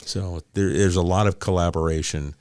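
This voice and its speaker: A man with a low voice hosts a conversational interview in a dry, close acoustic. He affirms what is said and explains points in everyday language. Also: fairly even intonation, moderate pace, a little mellow, clean tone, no room sound. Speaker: interview host.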